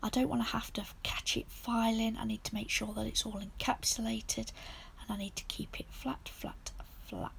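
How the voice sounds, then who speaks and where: A woman with a croaky, sore-throated voice, softly narrating a nail art tutorial as the channel's host. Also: a little high, steady tone, moderate pace. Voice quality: husky, gravelly voice. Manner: soft, half-whispered step-by-step narration.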